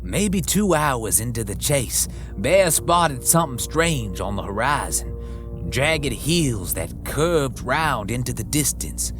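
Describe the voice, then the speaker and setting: A man's voice: mid-range voice, very animated, slow pace. A man narrating a western horror story in the first person, in folksy frontier talk full of crude slang, over background music. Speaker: audiobook narrator.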